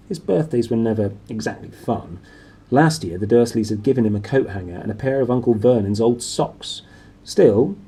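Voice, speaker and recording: Animated, husky male storyteller. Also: medium pitch. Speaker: storybook reader. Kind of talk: animated scripted narration of a children's novel.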